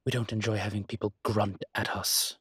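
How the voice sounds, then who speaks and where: Guy acting as a classy demon professor, husky and super dry close sound, halting, kinda irritated but patient, intimate audio rp. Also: lowish voice, level tone, a bit bright, no echo. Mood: exasperated, explaining. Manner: hesitant, halting, genteel explanations. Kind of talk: intimate audio roleplay monologue.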